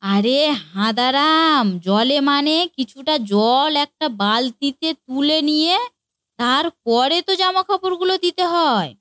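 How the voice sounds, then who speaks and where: A woman's voice: high-pitched, expressive, unhurried, fairly bright tone, dry recording, studio quality. A woman voicing an exasperated middle-aged mother-in-law in a comic cartoon, scolding and fed up.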